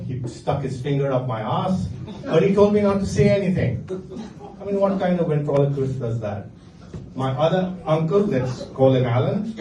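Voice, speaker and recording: A middle-aged man with an Indian accent delivers stand-up in a dry, deadpan way, pausing for laughs. His voice is fairly high for a man but mellow in tone. He sounds distant from the mic in an echoey venue with faint background noise. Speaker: stand-up comedian. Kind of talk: stand-up comedy set. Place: comedy venue with live audience.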